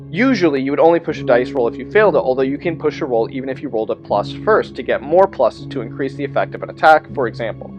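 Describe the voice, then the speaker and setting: Male host, mid-pitched, explaining tabletop rules with informal asides, music underneath. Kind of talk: rules explainer, part read aloud, part informal commentary.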